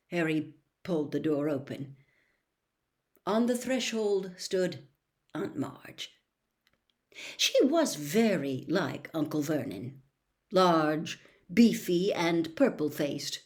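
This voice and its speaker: Female audiobook narrator, slightly low pitch, expressive scripted storytelling, dramatic emphasis. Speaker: audiobook reader.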